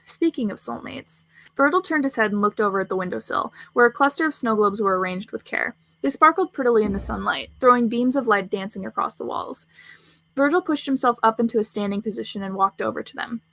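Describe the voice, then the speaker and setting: Fast-reading, slightly creaky woman narrator. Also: on the high side, fairly bright tone, dry recording. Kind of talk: scripted fan fiction narration.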